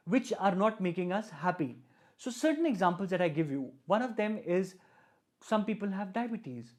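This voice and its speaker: A man's voice: high-pitched, a little mellow. A man hosts a live online webinar. He talks in an earnest, formal way, repeating himself and leaning on small fillers as he builds toward introducing his guest. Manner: earnest, repetitive, with frequent fillers. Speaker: webinar host.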